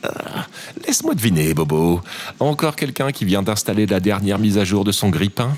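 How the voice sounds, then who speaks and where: A man's voice: fairly low pitch, animated, normal speed, a little mellow, slight background noise, close to an everyday voice. A man playing a long-suffering tech support worker in an audio drama, wordy and sardonic. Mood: wry, weary.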